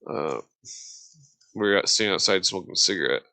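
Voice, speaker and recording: An American man in a casual podcast chat, rambling and halting, often trailing off into digressions. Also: low voice, expressive, gravelly, sharp tone.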